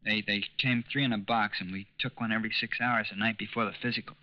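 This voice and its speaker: A man's voice: on the low side, steady tone, quick pace, lightly acted. An actor playing a timid, gullible young man who speaks in meek, hesitant boyish slang in a 1940s radio crime drama. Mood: sheepish. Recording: muffled 1940s radio recording.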